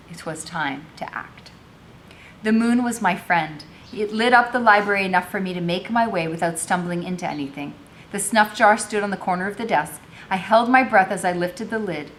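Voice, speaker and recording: A woman with a slightly low voice reads a story aloud in the role of a teacher. She moves between narration and informal explanation. There is faint noise and rumble on the recording. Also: a bit bright. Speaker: teacher reading a novel aloud with commentary.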